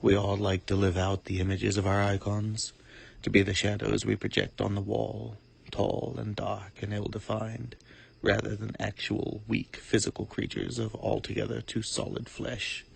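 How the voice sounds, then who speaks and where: A man playing a cold, calculating supervillain in a dramatic monologue, speaking with formal, deliberate, self-important eloquence and menacing calm in a gravelly, husky voice. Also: on the low side, exaggerated voice. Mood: coolly philosophical.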